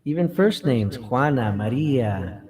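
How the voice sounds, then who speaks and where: A man's voice: medium pitch, expressive, takes it slowly, a little mellow. A man co-hosting a livestream, chatting casually, laughing often and dropping in Spanish and Bisaya words. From United States. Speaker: livestream co-host.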